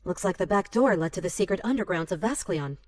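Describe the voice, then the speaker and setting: A woman's voice: on the low side, animated, quick pace, darkish tone, slight background noise, close to an everyday voice. An actress playing a wary noblewoman with a crossbow in a medieval game, matter-of-fact in delivery. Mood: focused. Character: wary female crossbow-wielding noblewoman companion. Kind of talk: video game cutscene acting.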